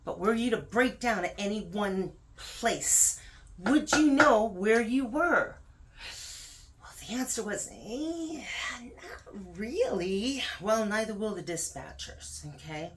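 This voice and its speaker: A woman's voice: mid-range voice, expressive, takes it slowly, slight background noise. A woman speaking as a video host, giving casual, emphatic advice straight to the viewer and repeating words for stress.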